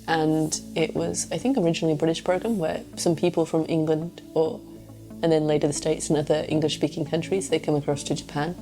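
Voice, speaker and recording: A woman's voice: low-pitched, mellow. A woman answering interview questions as a teacher abroad, speaking thoughtfully with hesitant phrasing.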